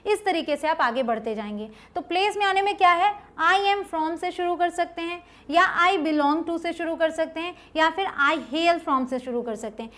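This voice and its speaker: A woman with an Indian accent teaching an online English lesson step by step, often checking understanding and slowing down to model example sentences. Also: very high voice, fairly bright tone. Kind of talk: instructional lesson, explaining step by step.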